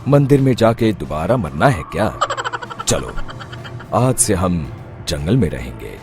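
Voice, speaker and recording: Man as a deep, gravelly ghost groom. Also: very animated, a little slow, music in the background, full character voice. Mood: exasperated. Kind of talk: cartoon moral-story voice acting.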